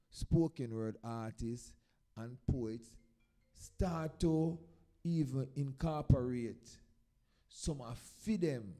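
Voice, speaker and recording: A man's voice: medium pitch, warm tone. A man with a Jamaican accent speaking in Patois as a performing poet, telling stories to an audience in short phrases with emphatic swells. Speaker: dub poet performer introducing a live event. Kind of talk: informal stage talk, storytelling to an audience.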